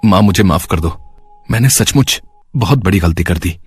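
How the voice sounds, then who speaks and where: Man acting a husky, swooping, meek husband. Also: sits low, expressive, moderate pace, soft background music, heavily acted. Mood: despairing, sorry. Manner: pleading, dramatic, placating. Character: anxious, meek young Indian husband and cloth trader.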